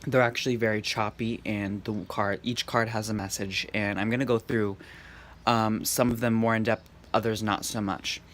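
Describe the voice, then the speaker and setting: A man giving a casual tarot reading in a calm, serene, monotone way. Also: fairly low pitch, normal speed. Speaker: tarot reader. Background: faint background noise.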